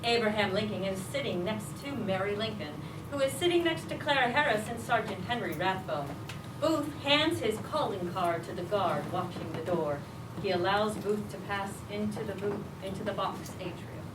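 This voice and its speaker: A woman's voice: medium pitch, expressive, moderate pace. A woman reading scripted stage directions as narrator of a staged reading. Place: restaurant, staged reading before audience. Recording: echoey, noisy room, distant mic.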